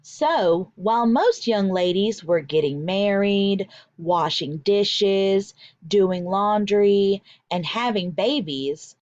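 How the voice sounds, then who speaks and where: A woman teacher reading aloud to children in an expressive, deliberate, emphatic way. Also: medium pitch, dry recording, highs cut off. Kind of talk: expressive children's read-aloud with vocabulary explanations.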